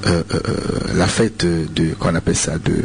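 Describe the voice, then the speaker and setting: A man's voice: low-pitched, fairly even intonation, normal speed, vocal fry, over music. A man from Congo with a Congolese French accent, a radio preacher answering listener questions, hesitant, with many repeated words and fillers. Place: radio studio.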